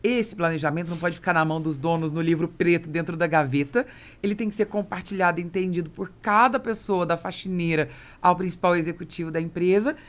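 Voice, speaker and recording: A woman's voice: lowish voice, fast. A woman with a Brazilian accent hosting a business podcast, talking conversationally in an emphatic, explanatory way. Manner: emphatic, explanatory, interjects agreement. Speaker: podcast host and interviewer.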